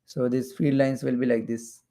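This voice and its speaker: A man's voice: medium pitch, little pitch movement, moderate pace, creaky, warm tone. A man teaching physics in an explanatory lecture, repeating phrases for emphasis as he goes. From India. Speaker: physics teacher for exam preparation. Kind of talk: explanatory lecture while drawing diagrams.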